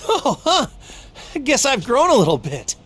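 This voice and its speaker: A woman's voice: high-pitched, wildly swooping pitch, normal speed, husky, exaggerated voice. A woman voicing a cheerful, naive young male martial artist in an anime game. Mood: amused. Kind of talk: English anime game voice acting.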